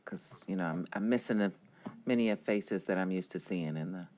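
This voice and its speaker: A woman with an extremely low voice questions people at a public meeting. She speaks informally and haltingly, as a councilwoman. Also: a little mellow.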